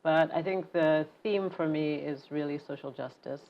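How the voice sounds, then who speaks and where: A woman's voice: low-pitched, normal speed, lo-fi sound. A woman in her fifties from Zambia, a novelist and guest in an interview, telling her story fluently and laughing easily. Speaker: author guest. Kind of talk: relaxed conversational interview.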